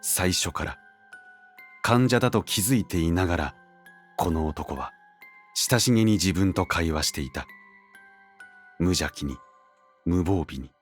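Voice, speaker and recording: An actor playing a grim, frightened assassin, narrating his inner thoughts gravely and dramatically in a fantasy audio drama. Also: low voice.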